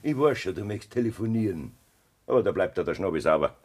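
An actor with a Bavarian accent playing a cruel, scheming farmer husband in a 1970s radio play, with a gravelly, husky voice. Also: male voice, low-pitched, animated, fast talker, a little mellow. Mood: menacing.

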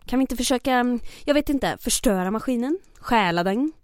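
An actress playing a mayor's aide in the resistance, businesslike but hesitant and scheming. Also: female voice, on the high side, expressive, dry recording, studio quality, lightly acted. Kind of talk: audio drama acting.

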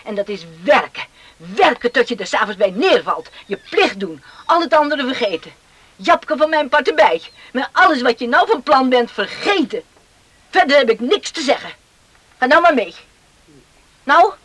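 A woman's voice: high voice, big pitch swings, normal speed, fairly bright tone, no room sound, heavily acted. An actress playing a stern, bitter mother who scolds emphatically and repeats herself, in a radio play. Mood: bitter, scolding.

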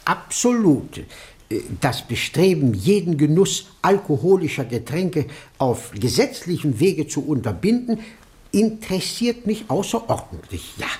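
A man's voice: a little high, sing-song, a little slow, darkish tone. An actor playing an earnest, sanctimonious clergyman in a radio play, pompous and hesitant. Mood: pious, approving. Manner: pompous, hesitant, repeating himself. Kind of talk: scripted radio drama dialogue.

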